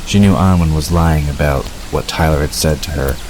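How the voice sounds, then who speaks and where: A man's voice: extremely low pitch, normal speed. A man reading scripted story narration for an audio drama. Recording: noisy, rain-like hiss behind.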